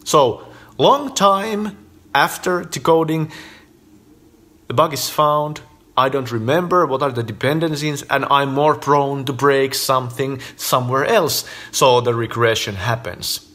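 A man's voice: medium pitch, a little slow. A man in his thirties with a Finnish accent, hosting a casual vlog and making emphatic points to a phone camera in his living room. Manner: animated, emphatic, gesturing with fingers while counting points. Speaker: host. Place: living room at home, indoors. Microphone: phone camera at arm's length.

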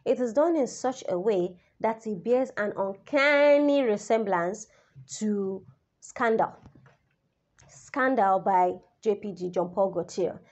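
A young woman reviewing a perfume in a casual vlog, slightly raspy as she recovers from the flu, talking chattily and straight to her viewers. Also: medium pitch, takes it slowly, dull audio. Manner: chatty and expressive, addresses viewers directly. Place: indoors, small room, seated. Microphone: camera in front, head-and-shoulders framing.

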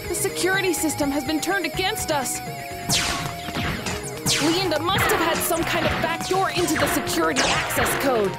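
Woman as a high-pitched, earnest young echidna guardian. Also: normal speed, loud music behind the voice. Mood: alarmed. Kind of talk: animated comic dub acting.

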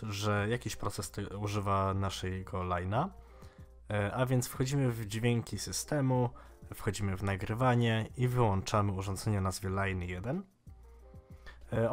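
Casting: young man in his twenties, fairly low voice, informal tech-tutorial host, a little hesitant, faint music underneath. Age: 20s. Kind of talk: casual software tutorial, screen walkthrough.

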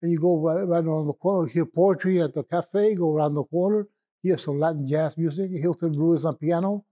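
A man with a Puerto Rican accent, a poet telling stories in a rambling, reminiscing way with filler pauses. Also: fairly high pitch, mellow. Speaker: poet guest. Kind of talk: relaxed conversational storytelling.

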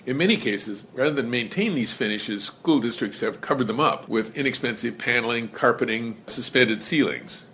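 A man presenting an educational lesson in measured, scripted narration. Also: medium pitch, fairly bright tone, a little hiss or hum. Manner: measured, explanatory.

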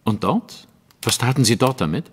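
An actor playing a sceptical detective in an audio drama, putting brisk, inquisitive questions. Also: male voice, mid-range voice, animated, slightly rough. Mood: probing. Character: probing, sceptical male detective.

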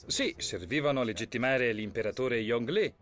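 A man's voice: medium pitch, normal speed, a bit bright, faint music behind, lightly acted. A man voicing an interviewee in a documentary dub.

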